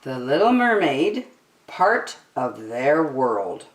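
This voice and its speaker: A middle-aged woman reading a picture book aloud expressively, as a children's story time host. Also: very deep voice. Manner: animated, deliberate. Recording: slightly echoey room.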